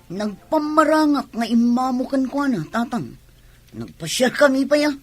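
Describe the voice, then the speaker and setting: Coy, husky, high-voiced young woman played by an actress. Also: very animated, normal speed, faint music behind, full character voice. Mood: indignant. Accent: Ilocano. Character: coy young Ilocano woman, niece being courted. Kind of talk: radio drama acting.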